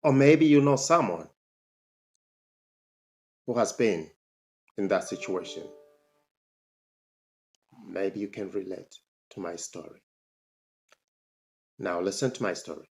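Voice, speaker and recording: A man's voice: slow, pauses often, darkish tone. A man, a motivational speaker telling his life story to camera, emphatic and rising sharply on his questions. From Zambia. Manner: emphatic, pitch jumps up on rhetorical questions.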